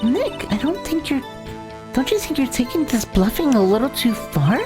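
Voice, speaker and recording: Nervous teen game sidekick, voiced by a woman, high, stammering, swooping pitch, music behind. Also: very animated, normal speed. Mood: worried. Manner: hesitant, stammering. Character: anxious teenage girl, lawyer's doubtful assistant. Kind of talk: voiced playthrough of a courtroom game.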